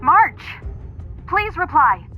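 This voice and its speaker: A woman's voice: very high voice, big pitch swings, very slow, muffled recording, exaggerated voice. A woman voicing a composed, protective woman who leads a crew in a video game. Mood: urgent. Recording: music behind the voice.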